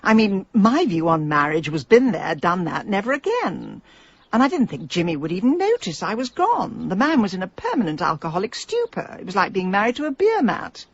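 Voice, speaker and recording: A woman playing a headstrong, middle-aged English businesswoman in a radio comedy-drama, sardonic, brisk and stubborn. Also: medium pitch, big pitch swings, slightly rough, highs cut off. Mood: wry, bitter. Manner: brisk, dry, exasperated.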